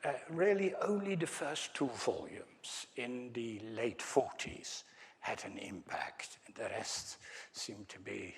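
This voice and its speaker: Slow, hesitant Dutch-accented man. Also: medium pitch, expressive, creaky. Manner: hesitant, many fillers, wry asides to the audience. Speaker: academic speaker. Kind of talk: conference lecture with slides.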